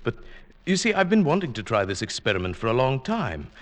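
An actor playing a henpecked middle-aged professor with resentment under a mild manner, in 1940s radio drama style. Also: male voice, mid-range voice, very animated, a little fast, natural voice. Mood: mild, placating. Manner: mild, hesitant, placating. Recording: vintage 1940s radio recording.

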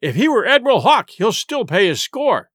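A man's voice: high voice, big pitch swings, moderate pace, a bit bright, no room sound, clean studio sound, heavily acted. Man performing a hearty, blustering old-salt tavern landlord. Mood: loud, indignant. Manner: hearty, blustering, salty seafaring talk. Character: genial, loud, shrewd one-legged sailor turned tavern landlord. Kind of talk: audiobook character voice.

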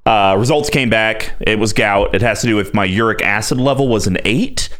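A young man hosting a podcast, talking in a relaxed, rambling way full of filler words. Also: fairly low pitch, normal speed. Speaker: podcast host. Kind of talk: casual podcast chat.

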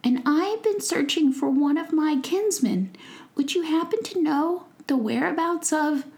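Woman voicing an earnest, formal fairy prince, lively and bright. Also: high-pitched, a little slow, pitched above the performer's own voice. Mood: courteous. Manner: courteous and formal. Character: polite earnest young fairy prince with wings.

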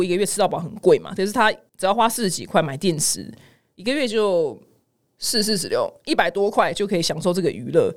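Fast-talking middle-aged Taiwanese Mandarin woman. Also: lowish voice. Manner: chatty, exclamatory, colloquial. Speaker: podcast host. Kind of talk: casual news commentary with personal anecdotes.

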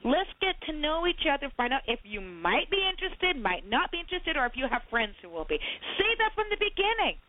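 Woman from Barbados, podcast co-host, high and forceful, heard over a thin phone line. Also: a little fast, few pauses. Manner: emphatic, animated, ranting. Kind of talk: heated podcast debate. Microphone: phone call.

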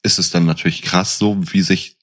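A man's voice: deep voice, fairly even intonation, brisk, a bit bright. A man chatting casually as a podcast co-host, explaining and asking probing questions.

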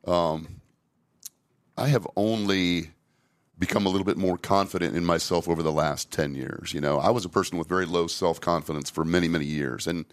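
A middle-aged man with a fairly low voice hosts a relaxed podcast chat. He talks candidly and rambles, often restarting his sentences as he works through his thoughts. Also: fairly quick. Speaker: safety trainer and podcast host. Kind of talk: casual podcast conversation.

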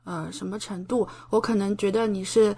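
A young woman from China chatting casually as a podcast guest, expressive and enthusiastic in the way she talks. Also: mid-range voice, normal speed, gravelly, husky. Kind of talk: casual chat.

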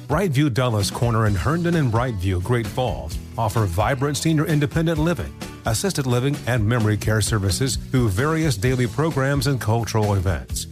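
Lively male ad announcer with music. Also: mid-range voice. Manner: upbeat, promotional. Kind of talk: radio ad read.

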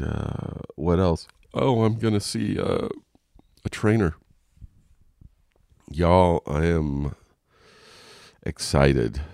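A man's voice: extremely low pitch, gravelly, dry recording, clean studio sound. A congested man hosting a solo podcast, rambling frankly with lots of ums and restarts, sounding a little nasal and coughing. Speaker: podcast host. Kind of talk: casual solo podcast monologue. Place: home.

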